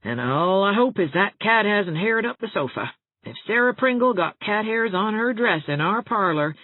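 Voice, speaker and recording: A woman reading a storybook as a panicky, fussy female housekeeper, gasping and tragic, with drawn-out emphatic words. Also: mid-range voice, animated, no echo, narrow, tinny audio, pitched above the performer's own voice, natural voice. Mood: anxious relief.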